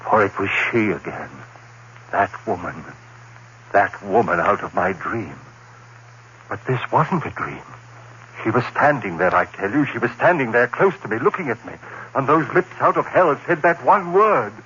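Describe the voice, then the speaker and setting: A man playing a terrified condemned prisoner recounting his nightmare in halting, anguished phrases, in the style of 1940s radio horror. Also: fairly low pitch, expressive, a bit bright, lo-fi sound. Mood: frantic insistence. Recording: noisy, band-limited old radio recording.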